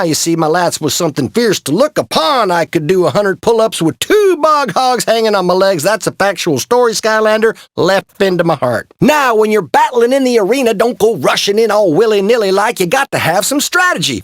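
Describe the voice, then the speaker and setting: A man's voice: extremely high pitch, fairly bright tone, dry recording, studio quality, exaggerated voice. A man voicing a folksy, boastful old fish gladiator mentor in a video game, with a drawling Southern US country accent and a cartoonish voice. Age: older. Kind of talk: video game character voice acting.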